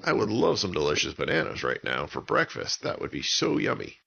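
A man's voice: low-pitched, huge pitch swings, fairly quick, gravelly, sharp tone, husky, lo-fi sound, full character voice. A man voicing an eager, friendly pirate crew member in a children's story, speaking with enthusiasm.

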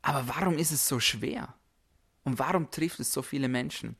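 A man's voice: mid-range voice, moderate pace, vocal fry, a bit bright, husky. A man delivering a motivational podcast monologue as host, emphatic, with rhetorical repetition. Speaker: podcast host, health coach.

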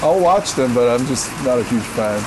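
A deep-voiced man from Korea chats loosely through interview questions, full of fillers and easy laughter. His voice sits in an ordinary middle pitch. Heavy rain patters loudly behind him. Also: animated, normal speed, noisy background. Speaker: interview guest. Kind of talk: casual interview answers.

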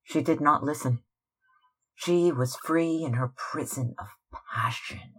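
Slow, breathy man reading literature. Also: a little high, a bit bright, low-quality recording. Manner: measured, dramatic. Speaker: audiobook reader. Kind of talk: scripted literary narration.

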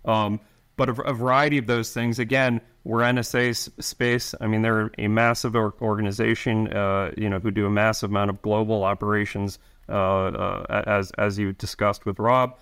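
Middle-aged male guest with a lowish voice; halting delivery full of restarts. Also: little pitch movement, fairly bright tone, clean tone. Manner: halting, many restarts and fillers. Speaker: intelligence officer guest. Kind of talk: interview answers.

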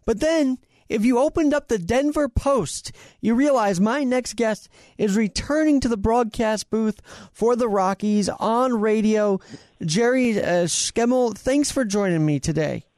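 A man's voice: extremely high pitch, unhurried, darkish tone, dry recording, studio quality. A man hosting a radio show, interviewing a guest in a conversational way.

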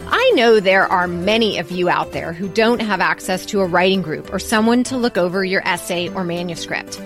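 A woman's voice: fairly low pitch, moderate pace, fairly bright tone, soft background music. A woman hosting a podcast, reading scripted introductions and announcements. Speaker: podcast host.

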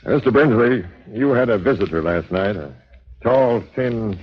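An actor playing a brisk, authoritative police captain with a probing manner in a 1940s radio drama. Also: male voice, fairly low pitch, lively intonation, breathy edge. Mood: businesslike. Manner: businesslike, commanding, probing. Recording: dull old 1940s radio recording.

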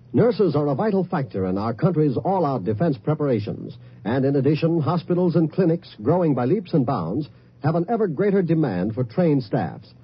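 Casting: American male announcer, higher-pitched, husky, scripted vintage radio appeal, muffled recording. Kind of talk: scripted 1950s radio public service announcement.

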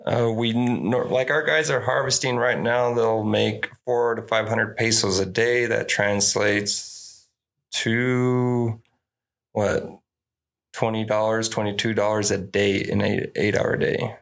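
A man's voice: on the low side, fairly even intonation, slow pace, vocal fry, a bit bright. A man in his thirties from Mexico, raised in New Mexico, a farm grower talking as a guest, upbeat and matter-of-fact with playful asides. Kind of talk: casual interview chat.

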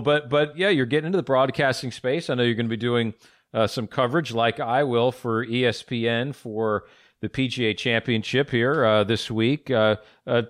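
A man's voice: mid-range voice, no echo. Friendly male podcast host, dry sound. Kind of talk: podcast interview.

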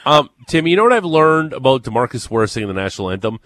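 A man's voice: mid-range voice, sing-song, fast, fairly bright tone, dry recording. Easygoing male sports radio host. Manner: easygoing, joking, wry. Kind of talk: casual sports radio chat.

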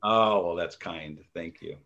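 A man's voice: sits low, measured pace, sharp tone, muffled recording. A man in his fifties chatting as an interview guest, humble and self-deprecating, with many fillers and restarts. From United States. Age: 50s. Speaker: guest, author and video creator. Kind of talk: conversational interview answers.

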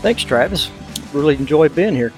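A man's voice: fairly high pitch, lively intonation, normal speed, a little mellow, faint music behind. An older man from Texas with a Southern accent, telling stories in a casual, folksy way as an interview guest. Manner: folksy, anecdotal. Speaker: podcast guest, hunting mentor and foundation founder.

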